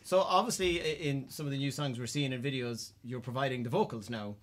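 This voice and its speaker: A man's voice: mid-range voice, fairly quick, fairly bright tone. A man with an Irish accent hosting a chatty talk show interview.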